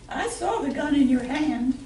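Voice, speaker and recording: Woman playing a high-voiced cheeky friend. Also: lively intonation, measured pace, dark tone. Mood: cheeky concern. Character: nosy, cheeky female friend in bar comedy. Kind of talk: amateur comic radio play performed live. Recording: distant echoey room, faint noise.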